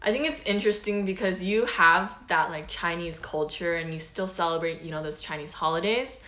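A teenage girl from the US, co-hosting a podcast in a relaxed, chatty way with lots of "like" and "um". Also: lowish voice, brisk, roomy sound. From United States. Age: teens. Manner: relaxed, chatty, many fillers like "like" and "um". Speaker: podcast host. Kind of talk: casual chat.

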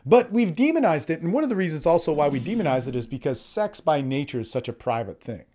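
A man's voice: fairly high pitch, very animated, fast talker, warm tone. A man giving an informal motivational coaching talk in an emphatic, blunt way, swearing freely and repeating phrases to drive points home.

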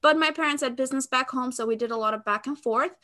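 This is a woman of about thirty who grew up in Albania and speaks English with an Albanian accent. Her voice sits high and has a bright, forceful tone. She talks in an expressive, conversational way, like a guest explaining her career. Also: quick pace. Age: around 30. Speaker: podcast guest, sales expert. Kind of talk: conversational interview answers.

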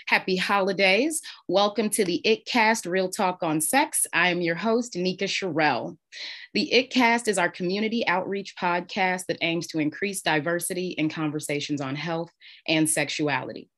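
A woman's voice: lowish voice, normal speed, a bit bright. A woman in her thirties hosting a podcast, reading a welcome and then chatting with enthusiasm. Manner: upbeat, scripted welcome then enthusiastic chat. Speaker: podcast host. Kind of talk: podcast introduction and interview.

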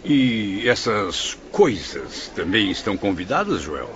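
A man's voice: on the low side, big pitch swings, slow pace, fairly bright tone, full character voice. An actor with a Brazilian accent playing an eloquent nobleman, a hospital patient, in theatrical radio drama style. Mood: polite. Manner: theatrical, florid. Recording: dull lo-fi radio recording, faint noise.

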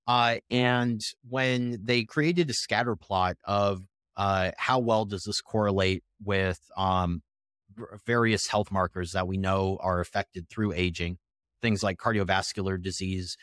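Low-pitched man explaining research. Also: steady tone, unhurried, fairly bright tone, dry recording, clean studio sound. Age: 30s. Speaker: podcast guest, health researcher.

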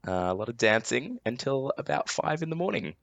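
A man co-hosting a podcast in a relaxed, self-deprecating way, his voice still a bit croaky after a late night. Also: mid-range voice, brisk, no room sound, dull audio. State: slightly croaky voice. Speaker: podcast co-host. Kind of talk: casual chat.

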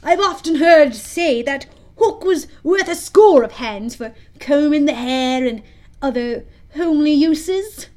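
A woman's voice: high voice, animated, unhurried, darkish tone, pitched above the performer's own voice. A woman voicing a simple, chatty, eager male pirate sidekick in a storybook, guileless and singsong. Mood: cheerful musing.

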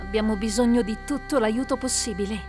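An actress playing a steady female adventurer in an Italian audio drama, speaking calm and measured. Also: fairly high pitch, music in the background, lightly acted.

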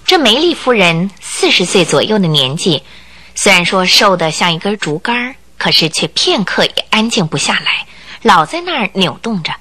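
A woman narrating a scripted radio drama in a deliberate, theatrical storytelling manner. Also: medium pitch, very animated, sharp tone.

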